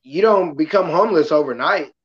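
A passionate, loud-voiced man hosting a casual podcast talk, acting out quotes as he goes. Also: fairly high pitch, brisk, dull audio. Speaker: podcast host; kindergarten school worker.

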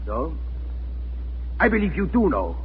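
An actor playing a smug, menacing German occupation colonel in a 1940s radio drama, imperious and sardonic. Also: male voice, fairly high pitch, huge pitch swings, measured pace, slightly rough, heavily acted. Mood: threatening. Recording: scratchy, phone-like 1940s radio recording.

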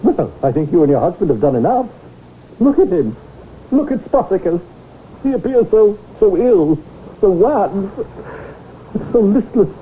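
A man's voice: sits high, very animated, a little slow, slight background noise. An actor playing a pompous male animal lover who pleads grandly in an old radio drama. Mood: grandiose. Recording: tinny lo-fi radio broadcast.

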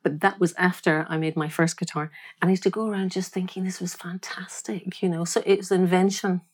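Woman, podcast guest, laughs often, low voice. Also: level tone, a little fast, breathy edge. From Scotland. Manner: warm, laughing storyteller. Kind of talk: casual interview chat.

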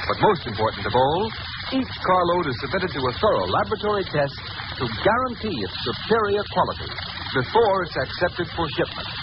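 A man's voice: sits high, sing-song, fairly quick. A man announcing a scripted old-time radio advertisement in an emphatic, polished, formal manner. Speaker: announcer, sponsor pitchman. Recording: noisy, muffled vintage radio recording.

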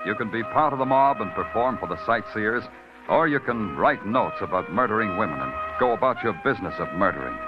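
A man's voice: fairly low pitch, animated, brisk, lightly acted. An actor playing a terse, hard-boiled male police detective who narrates in 1940s radio drama style. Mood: grim. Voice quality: brooding, clipped delivery. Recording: dull old radio recording with music.

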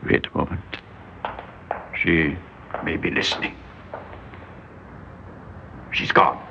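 An actor in an old-time radio drama playing a shrewd, wary, cynical doctor with a theatrical, insinuating delivery. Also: male voice, extremely low pitch, fairly even intonation, slow pace, gravelly, background noise, exaggerated voice. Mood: cynical, hushed. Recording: dull, muffled old radio recording.

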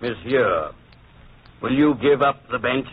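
An actor playing a stern, demanding French legal official in an old-time radio drama. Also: male voice, medium pitch, expressive, takes it slowly, husky. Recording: tinny, narrow old radio recording.

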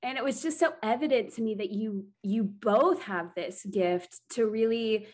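A woman's voice: a little high, normal speed, darkish tone. A woman hosting a podcast, asking friendly, rambling questions with some fillers. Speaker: podcast host. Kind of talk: casual interview.

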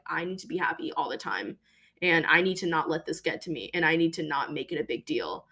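Chatty young woman, lowish voice. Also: a bit bright. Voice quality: deeper voice than most women. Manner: chatty, emphatic, lots of fillers. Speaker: podcast host. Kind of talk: casual personal monologue.

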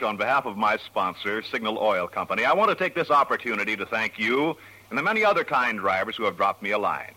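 A man's voice: mid-range voice, sharp tone, poor audio. A man announcing in polished, formal 1940s radio sponsor style. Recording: vintage radio broadcast.

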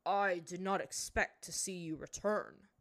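A dignified, stern older chief, voiced by a woman reading a story, speaks formally and with measured authority. He weighs his words like a ruler addressing his throne room. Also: fairly low pitch, a bit bright. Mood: cold. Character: dignified, stern older male high chief of fire people.

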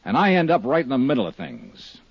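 A man's voice: mid-range voice, huge pitch swings, brisk, slightly rough, no echo, exaggerated voice. An American actor playing a tough, dogged male insurance investigator in a 1950s radio drama, with clipped, accusatory delivery. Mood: brisk narration. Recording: dull lo-fi 1950s radio recording.

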